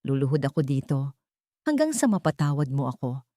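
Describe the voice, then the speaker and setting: A woman's voice: very deep voice, sing-song, fairly quick, warm tone, no room sound, clean studio sound. A woman voicing a remorseful young man who pleads for forgiveness. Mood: pleading.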